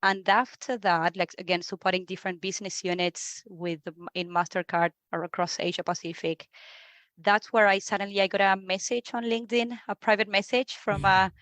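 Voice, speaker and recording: A woman in her thirties from Argentina with an Argentine Spanish accent, talking as a guest in a relaxed interview, repeating words and laughing easily. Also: mid-range voice, normal speed, no echo. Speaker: guest, HR leader. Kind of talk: conversational interview.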